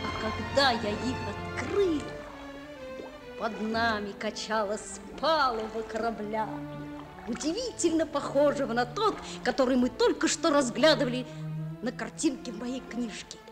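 A high, boyish voice for a Soviet radio play. The schoolboy is a stubborn dreamer, eager and theatrical, with faint music around him. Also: female voice, lively intonation, a little slow. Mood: dramatic storytelling. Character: dreamy, stubborn schoolboy narrating his sea adventure.

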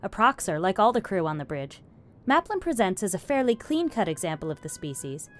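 A woman's voice: mid-range voice, very animated, brisk. Woman narrating a drama script. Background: faint music.

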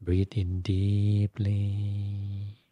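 Casting: male meditation guide, low-pitched and very mellow, gentle short instructions, unhurried with long pauses. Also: monotone, breathy edge, no echo. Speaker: Buddhist monk, meditation teacher.

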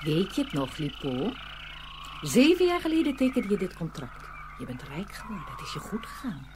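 A woman's voice: fairly low pitch, very animated, noisy background. A woman playing a sly, menacing snake-woman witch in an audio fairy tale, drawing out her taunting words. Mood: commanding, sly. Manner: slow, drawn-out, taunting delivery.

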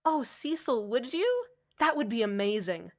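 A woman's voice: a little high, big pitch swings, unhurried. A woman playing a smiling, menacingly cheerful male radio host in a radio drama, sweetly condescending. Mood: cheerful, sly.

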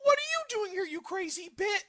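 Casting: woman as excitable young cartoon ninja, high voice, wildly swooping, brash and blunt. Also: brisk, long reverb tail, full character voice. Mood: excited, appalled. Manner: exclamatory, blunt. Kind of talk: comic anime parody dub acting.

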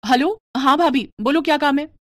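A woman puts on a vain young newlywed for an animated moral story. The voice is pitched high, petulant and self-important, and sounds dry and close. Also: a little fast, dry recording. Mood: distressed.

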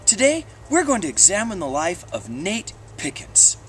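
A presenter delivers a scripted biography-special introduction. The intonation swings widely and is very animated. A slight noise sits behind the voice. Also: mid-range voice, normal speed. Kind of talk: scripted mock-documentary introduction.

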